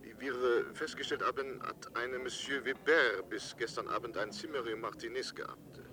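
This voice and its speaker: An actor playing a polite police inspector in a German radio drama, formal and hesitant. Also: male voice, medium pitch, steady tone, normal speed, crowd noise, close to an everyday voice. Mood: official, grave. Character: polite, halting French police inspector on the phone.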